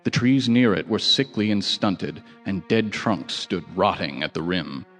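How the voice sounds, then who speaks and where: An actor playing a somber surveyor who narrates a horror tale in a measured, ominous, literary way. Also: male voice, low voice, expressive, fairly bright tone. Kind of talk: scripted dramatic narration. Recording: music bed under narration.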